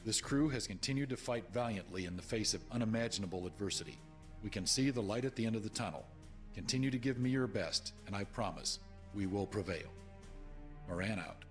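A man's voice: lowish voice, fairly quick, lightly acted. An actor playing a resolute starship commander making a formal, solemn address to his crew in a video game. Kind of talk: scripted military announcement in a video game. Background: music.

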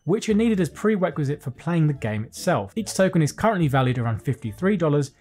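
A British man in his thirties presenting a scripted finance news roundup from a small home studio, reading headlines briskly and dropping wry jokes between them. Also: on the high side, big pitch swings, darkish tone, soft background music. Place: small home studio with desk and lights, indoors.

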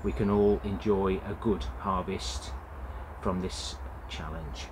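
Plain-spoken man with a lowish voice. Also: fairly even intonation, slow, noisy background. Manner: plain-spoken, many "uh" fillers. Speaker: allotment gardening vlogger. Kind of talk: casual vlog narration. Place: outdoor allotment, in and around a greenhouse.

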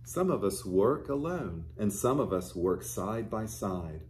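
A man in his fifties, a pastor reading a picture book aloud to young children and explaining it gently and simply. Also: on the low side, big pitch swings, measured pace, slight background noise. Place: small furnished living room, indoors.

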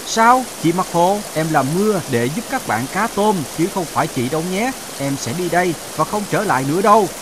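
A man voicing a proud, touchy young cloud in a cartoon fable, with a childlike, expressive delivery. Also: high-pitched, brisk, hardly any pauses, background noise. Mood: sulky.

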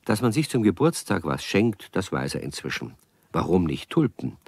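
A man's voice: sits low, very animated, normal speed, a little mellow, slightly rough, dry recording, full character voice. A man narrating a children's radio play as its storyteller, in scripted storytelling style. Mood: animated, relaxed.

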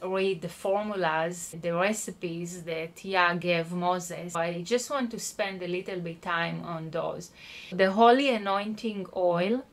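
A woman teaching an online Bible study, explaining patiently and checking in with her listeners. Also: lowish voice. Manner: explanatory, spells out words, checks in with "okay?".

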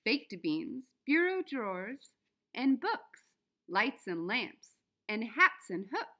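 A woman reading a children's storybook aloud in a deliberate, expressive way for young listeners. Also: on the high side. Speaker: children's book reader.

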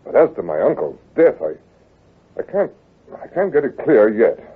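Man, proud young gentleman heir, husky, animated intonation, blunt, old radio drama, tinny vintage recording. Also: medium pitch, normal speed. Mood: puzzled, wry. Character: proud, stubborn, hearty young male heir.

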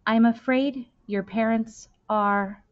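A grave, gaunt male headmaster from a storybook, read by a woman, speaks solemnly and haltingly. The recording is a little dull. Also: fairly high pitch, fairly even intonation. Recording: dull, lo-fi webcam-style sound.

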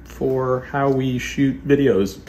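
A man's voice: medium pitch, slow pace, darkish tone. Jokey man in an echoey room. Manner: jokey, self-mocking, laughs often. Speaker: vlog host. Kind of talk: casual gear overview. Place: wood-paneled living room indoors. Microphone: clip-on lapel mic.

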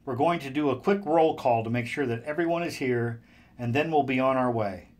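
Guy acting brisk school trip leader, audio drama, medium pitch. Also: a little mellow, natural voice. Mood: brisk, commanding.

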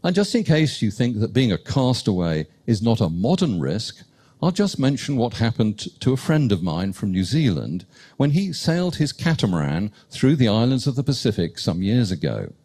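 A man telling a humorous anecdote to a cruise audience in a lively, wry storytelling manner. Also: mid-range voice, moderate pace, husky. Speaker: cruise ship lecturer. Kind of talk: storytelling lecture.

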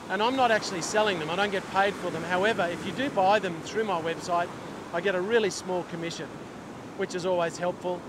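A middle-aged Australian man talking casually to camera as a fishing instructor, explaining as he goes. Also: sits high. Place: open ocean beach, outdoors. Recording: outdoor wind and surf noise. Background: waves, surf and wind.

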